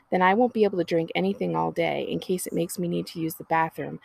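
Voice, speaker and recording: Woman voicing a defiant preteen girl, slightly husky. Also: medium pitch, mellow, dry recording, poor audio. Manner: indignant, earnest. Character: defiant, anxious, literal-minded preteen younger sister. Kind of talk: children's storybook character reading.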